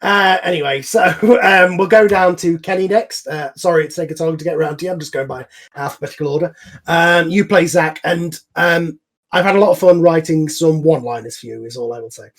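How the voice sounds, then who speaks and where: Guy hosting a group chat online, kinda high voice, informal and rambly, bit sweary. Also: a little fast. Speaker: host and series creator. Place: online voice call.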